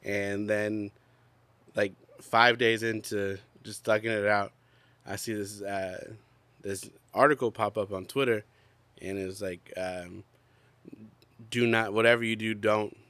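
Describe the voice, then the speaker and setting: A man's voice: fairly low pitch, fairly even intonation, unhurried, a bit bright, dry recording. A young adult man co-hosting a podcast outdoors, chatting casually with many fillers, still coughing and congested. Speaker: podcast co-host. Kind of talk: casual chat.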